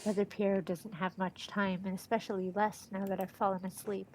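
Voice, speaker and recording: A woman's voice: medium pitch, a little fast, slight background noise, poor audio. A woman streaming a game with a stuffy nose from allergies, chatting casually and reading the game's lines aloud. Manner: excitable, reads game text aloud. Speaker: let's play streamer.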